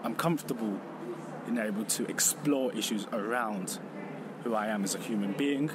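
A man speaking reflectively outdoors, pausing and correcting himself as he talks. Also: fairly low pitch. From Vietnam. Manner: thoughtful, pausing, self-correcting. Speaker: exhibition contributor. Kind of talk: reflective personal testimony. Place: outdoors on a city street. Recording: noisy street with traffic.

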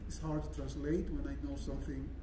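A man's voice: a little high, level tone, fairly quick. A middle-aged man from Cuba, a native Spanish speaker with a Cuban accent, informally introducing music on stage and searching for his words. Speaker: jazz pianist. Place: concert hall stage, indoors. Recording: echoey stage, distant mic, faint noise.

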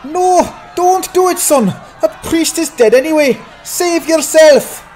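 A man voicing a brash, wry sidekick in a fantasy audiobook, speaking in an animated, urgent way. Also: extremely high pitch, takes it slowly, a faint crowd, a little hiss or hum. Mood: alarmed. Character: brash, cheeky male sidekick urging on a young adventurer. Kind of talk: dramatized fantasy audiobook acting.

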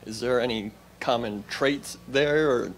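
A man's voice: mid-range voice, measured pace. A man, a sports reporter asking questions at a press conference. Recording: noisy press room.

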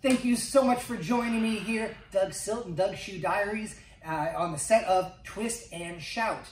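A man's voice: high voice, unhurried, recorded from a distance. A man hosting a casual instructional video diary as a dance teacher, chatty and playful in his delivery. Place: wood-panelled room indoors. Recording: echoey room, away from mic, music.

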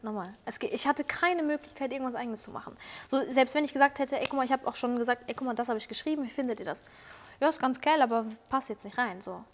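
A young woman in her twenties talks quickly in a fairly high voice, candid and slangy, with plenty of fillers. She is a singer being interviewed on a sofa set, on a clip-on mic. A long reverb trails her words. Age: 20s. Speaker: singer, guest. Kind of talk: casual interview chat. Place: indoor lounge set with sofa.

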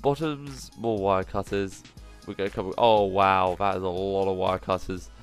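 A man with a cold, sounding nasal, giving excitable commentary as he plays through a survival game, full of quick exclamations. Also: sits low, soft background music. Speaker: gaming channel host. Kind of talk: gameplay commentary.

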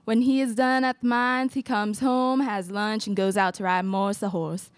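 A woman's voice: medium pitch, fairly even intonation, normal speed, close to an everyday voice. Young woman doing a fed up accusing wife in a radio drama, no echo, close mic. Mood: aggrieved. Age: young adult. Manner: accusatory, aggrieved. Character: indignant, accusing wronged Western wife. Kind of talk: student radio theatre acting. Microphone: close stage microphone.

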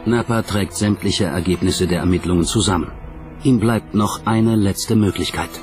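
Guy narrating a dramatic documentary, lowish voice, music playing under it. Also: moderate pace, a little mellow.

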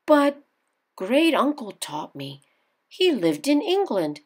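A woman's voice: high voice, big pitch swings, takes it slowly, breathy edge, pitched above the performer's own voice, full character voice. A woman reading a storybook as a proud, curious, easily flustered young woman, emphatic and questioning. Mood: surprised.

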